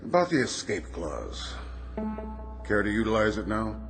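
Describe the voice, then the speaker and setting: A man's voice: lowish voice, big pitch swings, unhurried. An actor playing a suave, mocking devil in a radio drama, taunting as he offers a bargain. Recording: music under the voice.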